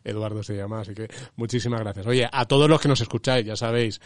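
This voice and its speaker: A man's voice: fairly low pitch, fairly even intonation, no room sound, studio quality. A man with a Spanish accent hosting a casual finance podcast, joking and laughing often with his co-host. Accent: Spanish from Spain. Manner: jokey, laughs often, quick banter. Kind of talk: casual chat.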